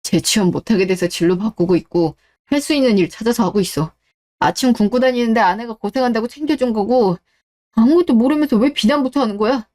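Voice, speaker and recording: A woman's voice: fairly high pitch, brisk, a little mellow, close to an everyday voice. Radio drama husband, voiced by a woman: earnest, intense, loyal to his wife, wounded and embittered. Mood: angry. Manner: earnest, emotional. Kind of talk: melodramatic radio drama dialogue.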